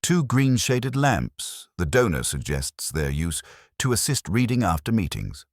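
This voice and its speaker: A man's voice: lowish voice, big pitch swings, measured pace, no echo, clean studio sound, voice pushed up, exaggerated voice. A man voicing a deferential, fussy council clerk who speaks carefully and primly.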